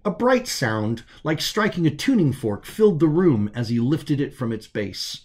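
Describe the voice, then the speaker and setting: A man reads scripted science fiction narration as an author, in a measured way with dramatic pauses. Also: on the high side, big pitch swings, a little mellow. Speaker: author narrating own story.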